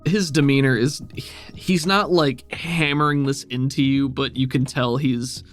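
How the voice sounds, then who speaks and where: A man runs a tabletop role-playing game as its game master, in a conversational way. His voice sits at a middle pitch. Plucked guitar music plays behind him. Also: fairly even intonation, unhurried. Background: plucked guitar background music.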